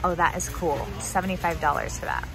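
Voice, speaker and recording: A young American woman with a slightly low voice talks in a casual, chatty vlog style. She addresses viewers informally while walking through a big warehouse store, with clear background noise around her. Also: wildly swooping pitch, fairly quick. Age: young adult. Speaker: vlogger. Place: large indoor warehouse store.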